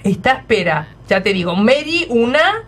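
Woman, Argentine accent, radio host, fairly high voice, casual interviewing, reading out messages. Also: big pitch swings, moderate pace.

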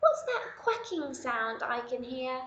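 A woman voicing a sleepless, curious little girl bunny in a high, soft, wondering voice. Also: big pitch swings, slow, muffled recording, exaggerated voice. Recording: roomy echo.

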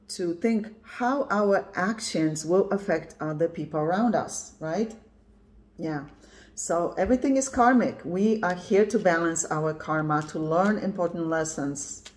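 A woman in her fifties, originally from Poland, talks through a tarot reading to a camera pointed at her cards. Her voice sits on the low side, and she speaks emphatically, often checking in with "right?". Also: a little mellow. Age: 50s. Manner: emphatic, repeats "yeah" and "right?". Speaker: tarot reader. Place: indoors at a card table, camera on the cards.

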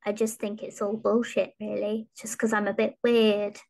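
A young woman with a high voice, chatting casually as a podcast guest. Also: flat delivery, normal speed, gravelly, darkish tone. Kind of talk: casual chat. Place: small room at home, video call.

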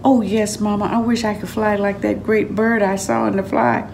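Older woman as a young male eagle, yearning, exclaiming, raised pitch, storybook read-aloud. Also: flat delivery, moderate pace, gravelly, darkish tone, slight background noise, close to an everyday voice.

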